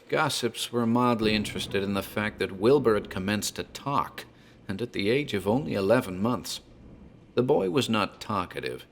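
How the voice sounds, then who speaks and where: A man narrating a gothic horror tale in a measured, formal, dramatic literary style. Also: on the low side, slight background noise.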